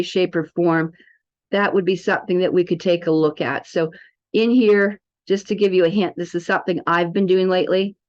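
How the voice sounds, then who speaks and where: A woman giving an informal, rambling product demo as an alternative health promoter, chatting directly to the viewers. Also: fairly low pitch. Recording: dull lo-fi audio.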